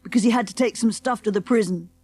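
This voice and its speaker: A woman as a polite, high-voiced confident schoolboy. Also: fast talker, darkish tone, close to an everyday voice. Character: polite, confident schoolboy leading his friends. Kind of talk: audiobook character dialogue.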